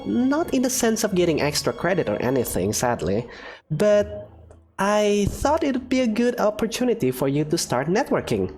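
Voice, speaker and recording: A woman's voice: low-pitched, darkish tone. Woman doing a prim, precise young schoolgirl. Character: prim, studious young female student.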